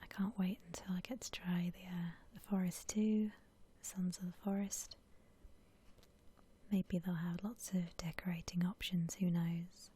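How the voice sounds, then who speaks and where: A woman whispering softly in a breathy, gentle, unhurried way, musing aloud as she gives ASMR commentary on a game. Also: lowish voice, little pitch movement. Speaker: host of a gaming ASMR channel.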